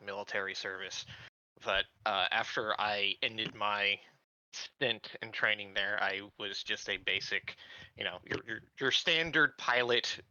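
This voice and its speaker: Bright, forceful low-voiced man, halting delivery. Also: no room sound, highs cut off. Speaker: tabletop roleplaying player. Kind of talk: casual improvised in-character backstory narration.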